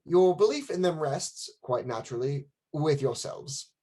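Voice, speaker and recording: A grave lord in a radio drama. The voice is husky and widely inflected, with courteous, stately phrasing and a formal manner. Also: male voice, fairly high pitch, animated, a little slow, mellow. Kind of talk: radio play acting.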